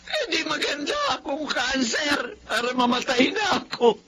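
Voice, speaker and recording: A woman's voice: high-pitched, expressive, a little slow, a loud crowd. A Filipino woman playing an ailing, despairing old mother in a radio drama, her voice strained and quavering. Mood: despairing, tearful.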